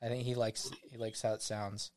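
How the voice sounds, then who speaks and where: A man's voice: lowish voice, little pitch movement, moderate pace, darkish tone. A man co-hosting a podcast in casual chat, teasing his partner and rambling a little. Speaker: podcast co-host.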